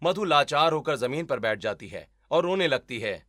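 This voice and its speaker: A man's voice: medium pitch, sing-song, moderate pace, fairly bright tone, dry recording, clean studio sound. A man giving scripted, dramatic narration of a story as its narrator.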